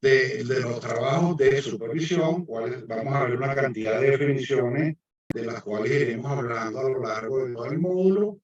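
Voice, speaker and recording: A man's voice: medium pitch, moderate pace. Echoey Venezuelan man lecturing. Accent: Venezuelan Spanish. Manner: hesitant, many fillers and restarts. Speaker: guest lecturer, civil engineer. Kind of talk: online lecture presentation. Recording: echoey distant muffled mic.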